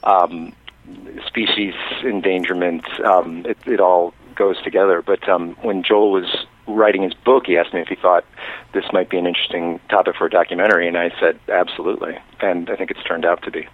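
A man, a documentary director, answering interview questions by phone in a halting way, often restarting his phrases. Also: low-pitched, fairly even intonation. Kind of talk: radio interview. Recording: tinny phone line.

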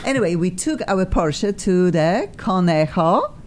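Gushing rambly lady hosting a talk show, mellow voice, faint rumble behind. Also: measured pace. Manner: effusive, excitable, rambling. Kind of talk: casual chat show hosting.

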